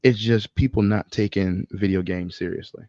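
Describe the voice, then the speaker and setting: A man's voice: low voice, steady tone, moderate pace, darkish tone, dry recording, muffled recording. A man co-hosting a podcast, talking casually and bluntly with slang, swearing and strong opinions. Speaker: podcast co-host. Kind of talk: casual film discussion.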